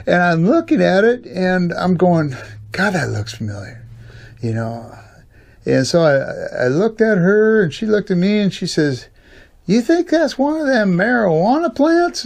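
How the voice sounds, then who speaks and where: A middle-aged American man with a gravelly voice, telling personal stories in a casual, folksy, halting way as a channel host. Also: high-pitched, sing-song, a little mellow. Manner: folksy, informal, halting delivery with frequent "you know". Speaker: YouTube storyteller and channel host. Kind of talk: casual rambling personal storytelling.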